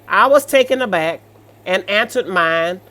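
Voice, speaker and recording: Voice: mid-range voice, animated, measured pace, sharp tone, rough recording. An American speaker with a sassy, blunt, colloquial manner, reading stories aloud and reacting with off-the-cuff commentary.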